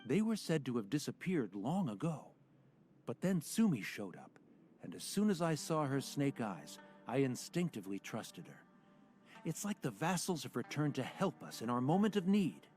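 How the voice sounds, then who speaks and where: A man's voice: mid-range voice, very animated, moderate pace, a little mellow, faint music behind, natural voice. A man as an earnest island soldier.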